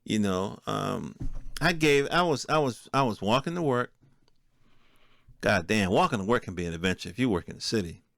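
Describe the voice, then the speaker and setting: A man with a fairly low voice delivers an unscripted podcast monologue. His speech is casual and streetwise, full of colloquial turns, and the sound is very dry with hardly any room to it. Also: lively intonation, moderate pace, creaky, a bit bright, no room sound. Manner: streetwise, colloquial, peppered with profanity. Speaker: podcast host.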